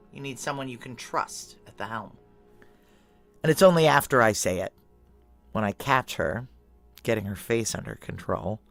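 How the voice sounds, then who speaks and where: An actress playing a tough, middle-aged security chief in an audio drama, brisk, clipped and dryly blunt. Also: female voice, extremely low pitch, vocal fry, heavily acted. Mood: blunt, resolved, then confiding narration. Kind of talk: noir audio drama acting. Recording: music bed under voice.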